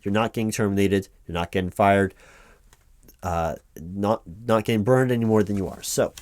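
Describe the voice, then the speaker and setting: A man's voice: on the low side, moderate pace, a little mellow, no room sound, studio quality, close to an everyday voice. A man playing a reassuring, rambling office helper who talks through paperwork in a spoken-aloud ASMR roleplay. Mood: official, instructive. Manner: rambling, hesitant asides with stammered repeats, reassuring. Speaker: roleplay performer playing a workers' compensation claims helper. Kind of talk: loud-spoken ASMR roleplay, reading forms aloud with ad-libbed asides.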